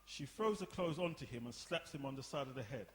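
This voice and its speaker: A man's voice: mid-range voice, lively intonation, brisk. A man narrating the stage directions of a radio play from a script. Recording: echoey room, live performance, hiss.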